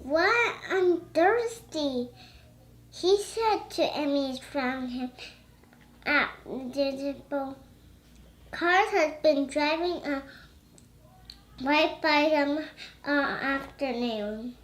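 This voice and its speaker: Slow, high-pitched young child reading aloud. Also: fairly bright tone. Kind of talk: halting read-aloud with excited chatter.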